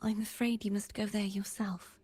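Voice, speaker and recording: A woman voicing a calm, mysterious young guide in a video game, soft and breathy, with a measured, solemn delivery. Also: mid-range voice, lightly acted. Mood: grave, measured. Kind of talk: voiced game dialogue.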